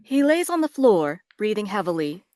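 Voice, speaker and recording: A woman's voice: medium pitch, sing-song, normal speed, a little mellow, no echo, clean studio sound. A woman reading stage directions as scripted narration for a drama.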